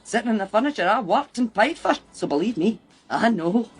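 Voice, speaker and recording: A woman's voice: mid-range voice, expressive, fairly quick, breathy edge, faint music behind. An actress playing a harassed, blunt working-class mother with a Scottish accent in a radio crime drama. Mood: defiant. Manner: blunt, emphatic, colloquial.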